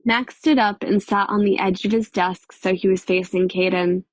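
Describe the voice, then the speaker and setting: A woman narrating a dramatic audiobook in a measured, expressive storytelling manner. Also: medium pitch, dry recording. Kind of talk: scripted dramatic fiction narration.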